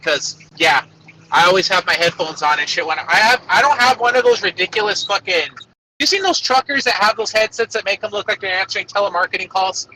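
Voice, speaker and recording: A man with a shrill voice, swearing freely in crude casual banter, calling in on a Bluetooth headset from his truck. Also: lively intonation, sharp tone. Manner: crude, swears constantly, quick laughs. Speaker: podcast co-host calling in. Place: truck cab while working. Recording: tinny headset line from a truck. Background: truck noise.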